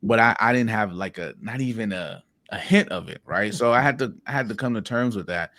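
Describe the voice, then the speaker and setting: A man's voice: lowish voice, fairly quick, a bit bright, dry recording, clean studio sound. A man from Haiti, a podcast guest, tells personal stories in an earnest way, repeating words as he starts his sentences. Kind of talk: reflective personal storytelling.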